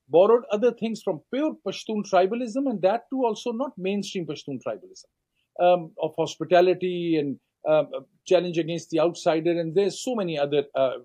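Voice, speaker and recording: High, mellow-voiced Pakistani man explaining. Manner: measured, explanatory, many fillers and restarts. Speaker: author and scholar guest. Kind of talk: interview answer, lecturing.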